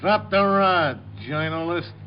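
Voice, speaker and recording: Sneering, menacing radio gangster. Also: male voice, sits high, takes it slowly, over music. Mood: sneering menace. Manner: sneering, taunting, tough slang. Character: cruel, menacing gangster killer, mocking and bossy. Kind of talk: 1950 radio crime drama acting. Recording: dull old 1950 radio broadcast.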